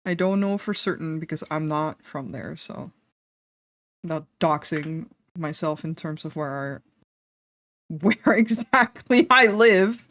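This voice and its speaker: A young woman with a Dutch accent talks casually to her stream, candid and quick to laugh, with the odd swear word. Her voice sits a little low for a woman. The sound is dry and close, with no room echo. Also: fairly bright tone. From Netherlands. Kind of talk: casual stream chat while drawing.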